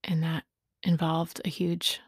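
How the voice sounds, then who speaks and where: A woman in her late forties speaks in a low, fairly flat voice with a gravelly fry at the edges. She talks reflectively about personal things, pausing often between short phrases. The sound is close and dry. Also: dry recording. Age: late 40s. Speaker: podcast host speaking on sobriety.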